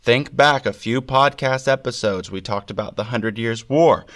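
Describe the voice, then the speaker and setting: A man hosting a podcast, giving a scripted art history lecture in an enthusiastic, emphatic way, his voice a little gravelly and congested from a cold. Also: mid-range voice, moderate pace, a bit bright. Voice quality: slightly gravelly. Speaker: podcast host.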